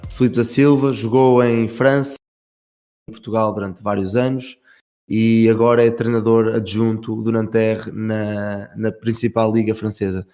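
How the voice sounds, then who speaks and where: A man's voice: on the low side, level tone, measured pace, warm tone, faint music behind. A man hosting a podcast, welcoming his guest and asking direct questions. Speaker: podcast host.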